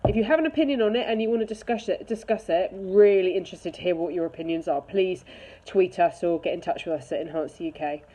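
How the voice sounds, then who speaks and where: A woman's voice: lowish voice, fast, mellow, poor audio. A woman talking candidly and casually, giving her personal opinion in a conversational way. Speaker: charity chief executive giving an opinion. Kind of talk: casual opinion talk to camera.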